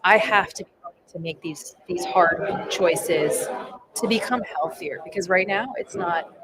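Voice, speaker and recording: A woman's voice: on the low side, a little slow, a loud crowd. A woman in her thirties, a firefighter, telling a candid personal story in a casual podcast chat. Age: 30s. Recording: noisy live event floor.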